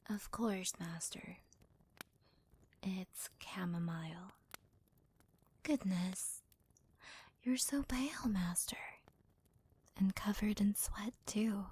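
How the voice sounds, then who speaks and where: A woman acts out a devoted young maidservant in an ASMR roleplay. Her mid-pitched voice is soft and breathy, often slipping into a half-whisper. She speaks slowly and deferentially, doting on her master. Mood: concerned, soothing. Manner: gentle, deferential, hesitant.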